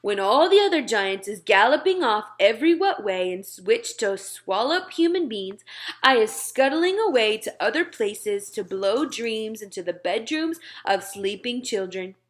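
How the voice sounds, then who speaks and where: Woman voicing a gentle, muddled, dreamy giant. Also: a little high, lively intonation, unhurried. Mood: confiding. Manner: muddled grammar with whimsical made-up words. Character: gentle, secretive, dreamy old male giant. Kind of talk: children's storybook read-aloud.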